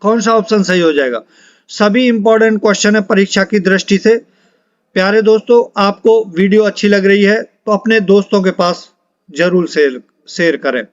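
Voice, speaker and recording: A man's voice: high-pitched, moderate pace, dry recording, dull audio. A man, an exam coaching teacher reading out quiz questions and answers in an instructional way, repeating for emphasis.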